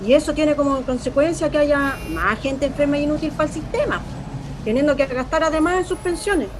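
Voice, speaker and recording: A woman's voice: high voice, brisk, lightly acted. A woman playing a conspiracy-minded street interviewee. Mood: insistent. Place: street, outdoors. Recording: loud street noise with traffic.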